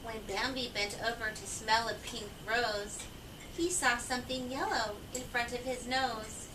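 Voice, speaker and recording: Woman, slow-paced read-aloud storyteller for kids, asks the listeners questions; recorded far off in an echoey room. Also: a little high, steady tone, background noise. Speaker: reader of a children's picture book.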